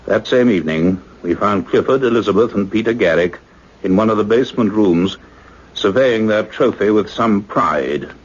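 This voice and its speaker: Husky, low-voiced authoritative scientist. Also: male voice, normal speed. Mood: grave, measured. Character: grave, authoritative male scientist recounting a strange discovery. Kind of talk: radio play narration and acting. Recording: dull, band-limited radio play recording.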